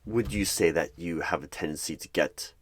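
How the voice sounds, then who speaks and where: A man in an ASMR roleplay as a hiring manager, asking interview questions in a measured, deadpan manner. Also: low voice. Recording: clear background noise with keyboard typing. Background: computer keyboard typing and clicks.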